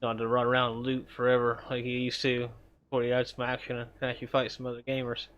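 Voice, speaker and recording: A man streaming a game and chatting casually with viewers, relaxed and rambling, chuckling often. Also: medium pitch, fast, clear, resonant tone, muffled recording. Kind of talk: casual chat while gaming.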